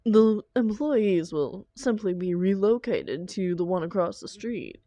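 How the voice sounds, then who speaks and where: A woman playing a doting, defensive supervillain lover in an intimate roleplay audio drama, coaxing theatrically with endearments and dramatic pauses. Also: mid-range voice, animated, dark tone, no room sound, close to an everyday voice. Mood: evasive, justifying.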